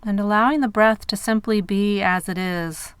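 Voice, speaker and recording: A woman guiding a meditation in measured phrases with pauses between them. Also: medium pitch, steady tone, clean tone, no room sound. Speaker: meditation guide. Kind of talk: guided meditation narration.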